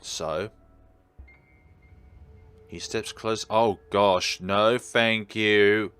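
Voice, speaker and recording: A man with a slightly low voice plays commentator over a game. He reads narration aloud and wryly answers the characters, with faint music behind him. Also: steady tone, very slow, a bit bright. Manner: wry, talking back to the characters. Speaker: let's play commentator.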